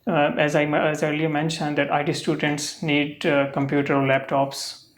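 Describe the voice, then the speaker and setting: A young adult from Pakistan with a Pakistani English accent and Urdu as first language, answering mock speaking-test questions in halting sentences full of uh and um. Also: mid-range voice, flat delivery. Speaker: test candidate.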